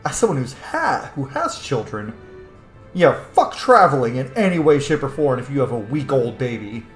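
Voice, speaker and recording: A man's voice: mid-range voice, big pitch swings, moderate pace. A man giving casual let's-play commentary, blunt, opinionated and freely swearing. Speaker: gamer, streamer. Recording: echoey room with faint game music.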